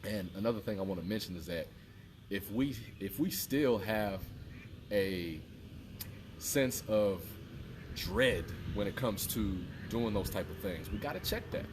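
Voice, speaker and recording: A deep-voiced man in his thirties records an earnest fitness pep talk outdoors by a fountain. He sits back from the mic, and a clear hiss of outdoor sound runs under his voice. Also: animated, measured pace, distant mic. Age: 30s. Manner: earnest, repetitive, preachy motivational talk. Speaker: fitness vlogger. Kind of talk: casual motivational talk to camera.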